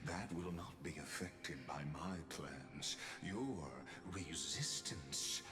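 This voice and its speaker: Deep, slow-talking erudite game villain, silky and grandiose. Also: male voice, echoey room, heavily acted. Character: calm, scheming, erudite male villain, grandiose and unhurried. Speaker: game character voice actor. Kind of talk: theatrical scripted game dialogue.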